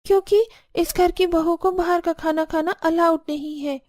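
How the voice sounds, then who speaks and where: A woman's voice: very high voice, fairly even intonation, moderate pace, a little mellow, voice pushed up. A woman voicing a plaintive, dutiful young Indian daughter-in-law in a cartoon family story. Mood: aggrieved. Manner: complaining, pleading. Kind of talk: Hindi cartoon story acting.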